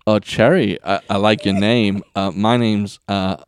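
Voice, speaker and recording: A man's voice: fairly low pitch, fairly even intonation, a little slow, clear, resonant tone, voice pushed down. A man playing a nervous caller on a phone-sex line, stammering and hesitant. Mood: awkward. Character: awkward nervous male caller.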